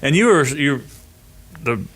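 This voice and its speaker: A man's voice: medium pitch, animated, measured pace, fairly bright tone. A man co-hosting a podcast, explaining ideas as he thinks them through, often restarting his phrases. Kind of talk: casual podcast conversation.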